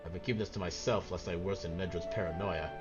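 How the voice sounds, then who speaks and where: An earnest fantasy game villager read aloud by a man hands out quests in quaint, measured phrasing. The voice sits fairly low, with loud game music behind it. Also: fairly even intonation, highs cut off, close to an everyday voice. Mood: confiding.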